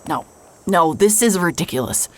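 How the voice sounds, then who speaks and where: An actress playing a stubborn, sarcastic, exasperated woman in a naturalistic audio drama, blunt and quick to swear. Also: lowish voice, lively intonation, slight background noise, close to an everyday voice. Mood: exasperated, agitated.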